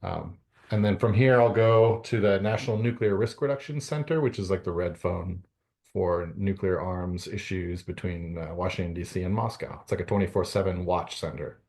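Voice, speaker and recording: Man, low voice, middle-aged, hesitant, lots of ums and restarts. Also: moderate pace, darkish tone. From Canada. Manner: hesitant, many fillers and restarts. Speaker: guest author. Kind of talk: conversational interview answers.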